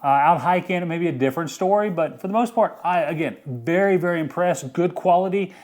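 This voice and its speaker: A middle-aged man with a slightly high voice talks casually through a gear review. He sounds informal and enthusiastic, often repeating a word for emphasis. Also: brisk, darkish tone. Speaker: host of an outdoor gear review channel. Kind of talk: casual product review vlog.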